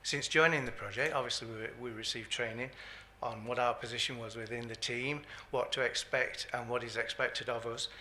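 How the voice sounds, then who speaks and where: A man's voice: mid-range voice, steady tone, moderate pace, few pauses, sharp tone, slight background noise. A man speaking at a conference as a patient governance advisor, hesitant, with frequent ums and uhs. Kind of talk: conference talk. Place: conference hall.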